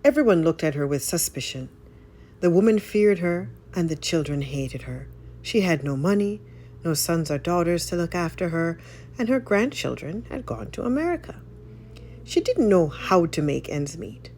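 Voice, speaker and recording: Highly animated low-voiced woman storyteller. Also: normal speed, slight background noise. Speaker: storyteller reading a folk tale aloud. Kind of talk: expressive scripted story narration.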